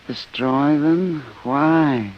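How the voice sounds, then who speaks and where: An actor playing a placid, dreamily contented professor with an altered mind in an old radio drama. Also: male voice, on the high side, a little hiss or hum. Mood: vague contentment. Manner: slow, dreamy, beaming contentment. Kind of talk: 1950s radio drama acting. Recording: dull old radio recording.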